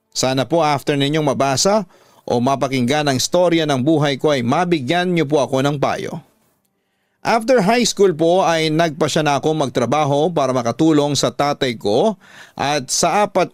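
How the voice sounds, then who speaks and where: A man's voice: on the high side, no echo. A man with a Filipino accent hosting and narrating dramatic stories as on a radio drama programme.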